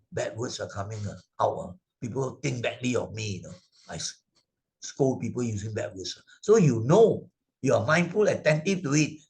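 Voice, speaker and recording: A man's voice: medium pitch, creaky, rough recording. A man with a Malaysian English accent, a Buddhist Sunday school teacher explaining moral stories to children over a video call, full of "uh" and tag questions like "right?" and "isn't it?".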